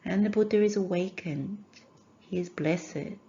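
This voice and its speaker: A woman teaching a guided meditation in measured, gentle phrases with short pauses. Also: mid-range voice, lo-fi sound.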